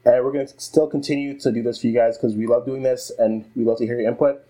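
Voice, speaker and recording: A man's voice: mid-range voice, fairly even intonation, fast talker, warm tone, poor audio. A young man co-hosting a basketball podcast, talking casually and bluntly, swearing and laughing easily. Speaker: podcast co-host. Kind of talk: casual sports chat.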